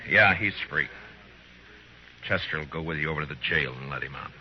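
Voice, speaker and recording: An American actor playing a stern, world-weary frontier marshal in an old radio western, terse and commanding. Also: male voice, a bass voice, brisk, a little hiss or hum. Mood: subdued, weary. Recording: muffled vintage radio recording, music behind.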